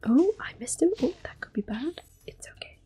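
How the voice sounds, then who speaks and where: A woman speaking in a hushed, unhurried, gentle whisper as she gives ASMR game commentary. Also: high-pitched, animated. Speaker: host of an ASMR gameplay series. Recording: clicking controller noise. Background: controller clicks.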